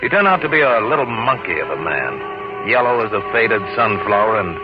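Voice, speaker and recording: An actor playing a bold, scheming sea captain who also narrates, in an old-time radio adventure style on a muffled recording. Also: male voice, lowish voice, big pitch swings, fairly quick, music in the background. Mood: narrating.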